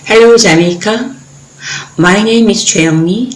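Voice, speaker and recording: A woman poet from South Korea, a native Korean speaker with a Korean accent, reading in sparse, measured short phrases. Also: mid-range voice, a little hiss or hum, low-quality recording. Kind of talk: poetry reading with brief introduction.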